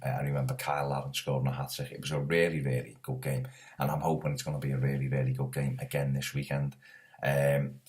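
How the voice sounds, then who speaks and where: A man hosts a casual soccer preview while hoarse from a cold. His voice sits extremely low and flat. He talks in a chatty, rambling way with frequent fillers. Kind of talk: casual solo sports preview.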